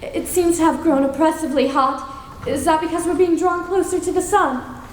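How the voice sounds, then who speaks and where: A woman's voice: very high voice, steady tone, moderate pace. A woman acting an anxious, devoted young wife in melodramatic old-time radio drama style. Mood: tender, uneasy. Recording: very echoey hall, faint noise.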